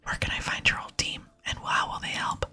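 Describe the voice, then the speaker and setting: A woman voicing a polite, earnest game adventurer in a breathy whisper. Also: a little fast, pushed and bright. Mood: earnest, concerned. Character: eager, polite young adventurer, the game's player hero. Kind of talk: whispered ASMR reading of game dialogue.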